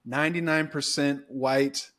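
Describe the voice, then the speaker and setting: A man's voice: mid-range voice, steady tone, measured pace, fairly bright tone. A middle-aged man from California hosting a faith podcast, chatting with asides as he interviews his guest. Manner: conversational, asks questions, rambling asides. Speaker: podcast host.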